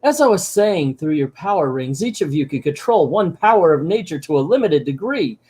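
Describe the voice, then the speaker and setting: A man voicing a calm female earth spirit, reading her lines aloud from a comic in a measured, formal way. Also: fairly high pitch, breathy edge, pitched above the performer's own voice. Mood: solemn.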